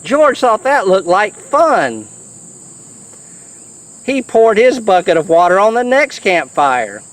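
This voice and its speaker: A man reading a children's storybook in a playful, animated, folksy way. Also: high voice, slow.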